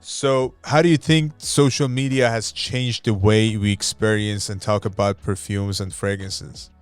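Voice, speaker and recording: A man with a fairly low voice asks interview questions over faint music. He keeps the talk moving with short affirming replies. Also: fairly even intonation, unhurried, clear, resonant tone, no room sound. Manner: brief affirming backchannels. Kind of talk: conversational interview.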